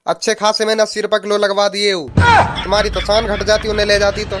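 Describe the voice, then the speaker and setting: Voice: medium pitch, little pitch movement, fast, loud music behind the voice, natural voice. A performer voicing a sarcastic, stubborn husband who nags in a comic dialect cartoon. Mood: accusing. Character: sarcastic, nagging husband and father, stubborn and petty.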